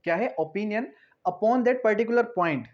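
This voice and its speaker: A man's voice: sits high, expressive, takes it slowly, dull audio. A man lecturing on law, explaining step by step, repeating phrases and answering his own questions. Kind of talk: lecture explaining statute with examples.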